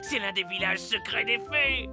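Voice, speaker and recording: A woman voicing a talkative, friendly little forest gossip in an animated cartoon, with emphatic, eager delivery. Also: mid-range voice, moderate pace, gravelly, over loud music, natural voice. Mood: chatty, enthusiastic. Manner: talkative, emphatic, enthusiastic storytelling.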